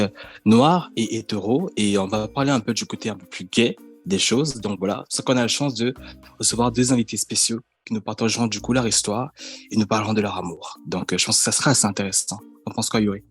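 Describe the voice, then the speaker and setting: A man co-hosting a podcast, introducing the topic in an easygoing, explanatory way. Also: fairly low pitch. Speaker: podcast co-host. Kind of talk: casual podcast chat.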